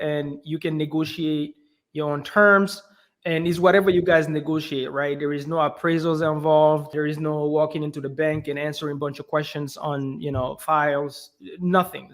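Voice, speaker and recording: Animated higher-voiced man from Belgium. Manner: animated storyteller, many fillers like "you know" and "right". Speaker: guest. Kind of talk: casual interview talk.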